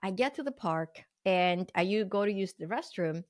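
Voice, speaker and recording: A woman's voice: mid-range voice, animated, normal speed. Earnest older woman from Sweden. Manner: earnest, vivid storytelling with fillers. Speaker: guest. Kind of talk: storytelling interview talk.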